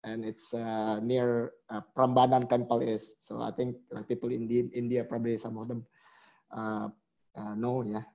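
A man's voice: medium pitch, level tone, moderate pace, a little mellow, dull audio. A middle-aged man, a guest in an interview, talking reflectively with hesitant restarts. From Indonesia. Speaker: guest, company chief executive. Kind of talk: conversational interview.

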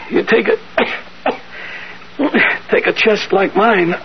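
A man's voice: sits high, drawn-out words, slightly rough, full character voice. An actor playing a chatty, whiny, ailing old American man in a hospital, in a 1970s radio drama. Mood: chatty, needling. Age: older. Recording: muffled, slightly noisy 1970s radio recording.

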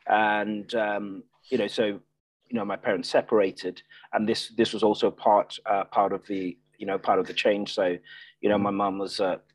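Low-voiced hesitant man guest. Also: flat delivery, moderate pace, no echo, poor audio. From Nigeria, Ireland and the UK. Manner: hesitant, many restarts and fillers. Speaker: podcast guest. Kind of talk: casual interview chat.

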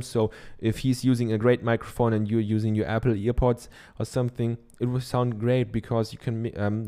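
A man's voice: medium pitch, dark tone, smooth. A man from Germany with a German accent, giving a casual how-to talk from his office into a dynamic studio microphone, chatty and loose, with many ums and tag questions. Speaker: podcaster. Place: treated home office.

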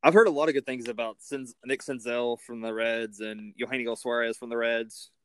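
A man's voice: medium pitch, steady tone, normal speed, fairly bright tone, clear, resonant tone, dry recording. A man hosting a casual sports interview as the interviewer.

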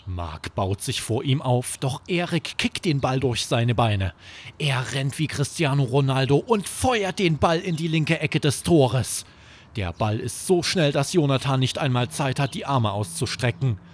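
Husky man telling bedtime stories. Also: medium pitch, expressive, a little slow. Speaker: podcast host and storyteller. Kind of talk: scripted children's bedtime story narration.

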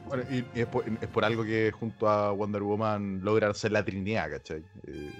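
A man with a Chilean Spanish accent co-hosting a podcast, joking and dropping slang into quick interjections. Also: lowish voice, steady tone, dull audio, faint music behind. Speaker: podcast co-host. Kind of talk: casual chat.

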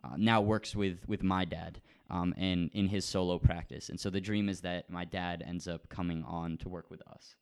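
A teenage boy with a very low, smooth voice chats casually as co-host of a podcast. He rambles with plenty of restarts and gets more animated when he tells a story. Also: moderate pace, few pauses. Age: teens. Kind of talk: casual chat.

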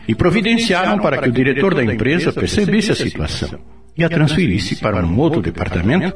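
A Brazilian man playing a patient, authoritative spirit mentor in a radio drama, explaining things in a didactic, expressive way. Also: medium pitch. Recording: roomy, distant, music behind.

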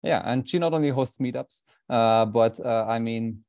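A man's voice: medium pitch, normal speed, darkish tone, no room sound, clean studio sound. A man hosting a podcast, introducing his guest in a loose, rambling way full of fillers and restarts. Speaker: podcast host. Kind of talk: casual interview intro.

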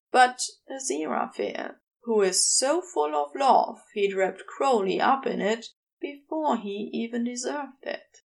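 A woman narrating scripted fiction as an audiobook-style reader, in an intimate, measured storytelling manner. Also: fairly high pitch, creaky. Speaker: podfic reader.

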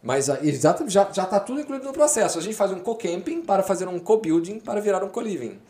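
A man's voice: sits high, expressive, fast talker, warm tone, reverberant. A Brazilian man co-hosting a podcast, making playful wisecracks in casual chat. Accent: Brazilian Portuguese. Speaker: podcast co-host.

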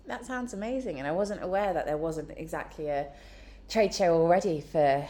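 A woman's voice: on the low side, normal speed, warm tone, a little hiss or hum. A woman interviewing a guest in person, upbeat and encouraging with her questions. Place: indoor room, in person.

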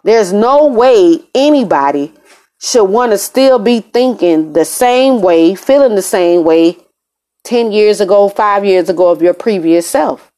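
A woman's voice: medium pitch, animated, a little slow, dark tone. An American woman hosting a podcast, speaking in a conversational, emphatic way in a casual motivational monologue, often repeating phrases for emphasis. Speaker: podcast host. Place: room in an apartment.

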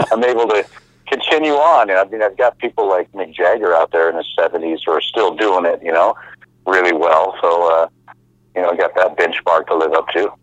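A man in his fifties talking casually as a guest, relaxed and halting, with many fillers and easy laughs. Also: sits low, no room sound. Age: 50s. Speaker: musician guest. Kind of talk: casual interview over the phone. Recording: muffled phone line.

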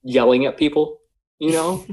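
A man with a Michigan accent co-hosting a casual podcast chat, excitable and laughing often. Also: fairly high pitch, normal speed. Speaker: podcast co-host.

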